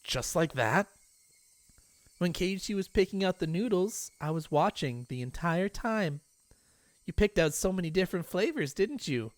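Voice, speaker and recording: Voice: mid-range voice, lively intonation, normal speed, dry recording, voice pushed up, lightly acted. A reader putting on an eerie sweet-voiced teenage girl. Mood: teasing. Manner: sing-song, pleading, repeating itself. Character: sweet teenage girl turned menacing, eerily cheerful. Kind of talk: audiobook reading.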